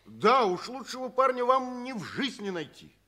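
Bright, folksy good-hearted tramp. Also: male voice, very high voice, very animated, moderate pace, full character voice. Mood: kindly, persuasive. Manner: folksy, exclaiming. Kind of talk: 1960s radio drama acting.

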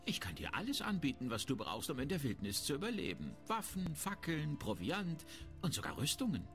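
Grumbly shrewd merchant from a fantasy game, super expressive, bit pompous, music behind him. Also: male voice, fairly high pitch, brisk. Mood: salesmanlike. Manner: haggling, disdainful, self-important. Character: shrewd, grumbling, self-important male town merchant. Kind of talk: fantasy video game dialogue acting.

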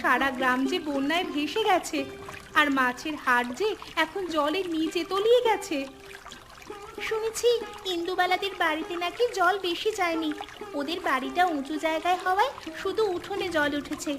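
A woman voicing a clever young village woman in a cartoon, with a bright, earnest manner. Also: very high voice, moderate pace. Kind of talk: cartoon voice acting.

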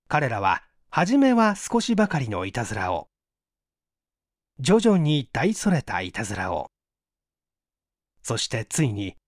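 A man reading literary fiction aloud as a narrator, in a theatrical, deliberate storytelling manner. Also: on the high side, dry recording, clean studio sound. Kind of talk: dramatic literary audiobook reading.

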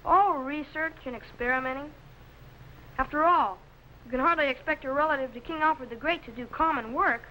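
A man's voice: extremely high pitch, pushed and bright, rough recording. A young teenage boy playing a pompous, earnest explorer's assistant in a 1950s children's sci-fi show. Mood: airy, self-important. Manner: pompous, earnest, carefully enunciated. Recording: vintage film soundtrack, faint noise.

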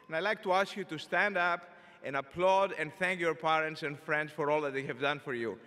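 An older man, a dean, giving a formal commencement address from a podium, speaking in a measured, deliberate way with the odd filler word. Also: a little high, bright, forceful tone. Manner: measured, deliberate delivery with occasional filler words. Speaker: engineering school dean. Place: large arena stage at a podium. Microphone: podium microphone. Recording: long reverb of a large arena.

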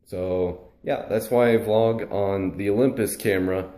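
A young man answering viewer comments in a relaxed, rambling vlog chat, with frequent fillers and restarts, filmed on a webcam at his desk. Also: on the low side, unhurried, roomy sound. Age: young adult. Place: bedroom with shelves, indoors.

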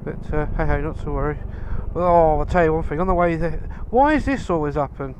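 A man's voice: fairly high pitch, fairly quick, darkish tone, dull audio. A man vlogging from his motorbike, chatting casually and grumbling about his day. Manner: grumbling, chatty, sighs and swears at traffic. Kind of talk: casual rambling chat while riding. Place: outdoors on a motorbike in road traffic. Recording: wind and engine noise from riding. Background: traffic and engine noise.

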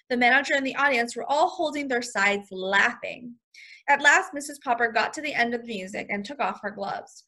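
Female elementary teacher, higher-pitched and bright, expressive storybook read-aloud with simple explanations for young kids. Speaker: first grade teacher.

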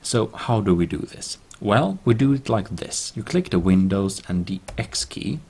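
A man's voice: on the low side, expressive, measured pace, vocal fry, a little mellow. A man narrating a tech tutorial as its host, in slightly awkward non-native English phrasing with some off-the-cuff asides.

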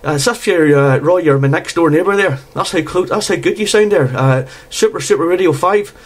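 A man's voice: fairly high pitch, brisk. A man chatting casually as an amateur radio operator, often tagging his phrases with "there". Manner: repeatedly tags phrases with "there". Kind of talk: casual radio contact chat.

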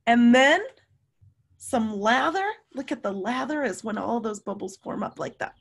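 A woman in her fifties reading a story aloud to young children, with animated, drawn-out emphasis and questions to her listeners. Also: on the high side, gravelly, breathy edge. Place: room at home.

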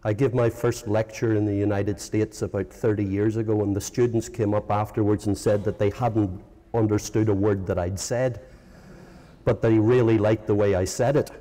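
Middle-aged Northern Irish lecturer, hesitant and halting, deep, in a big reverberant hall. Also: male voice, fairly even intonation, mellow. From Northern Ireland. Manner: hesitant, many ums and uhs, self-correcting. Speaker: philosophy professor giving a lecture. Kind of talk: informal conference lecture. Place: large conference hall. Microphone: lectern microphone.

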